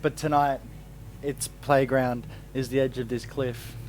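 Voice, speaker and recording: A man's voice: medium pitch, little pitch movement, measured pace, darkish tone, faint music behind. Laid-back Kiwi man reading aloud. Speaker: game master. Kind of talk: reading creature descriptions at a tabletop game.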